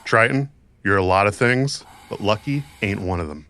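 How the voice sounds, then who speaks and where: An actor playing a bitter, tough cyberpunk mercenary and mentor in an audio drama, wry and goading. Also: male voice, on the low side, animated, normal speed, fairly bright tone, a little hiss or hum. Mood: sarcastic.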